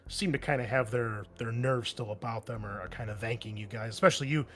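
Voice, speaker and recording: A man's voice: medium pitch, brisk. A man speaking informally and conversationally as game master, narrating and refereeing a tabletop roleplaying game.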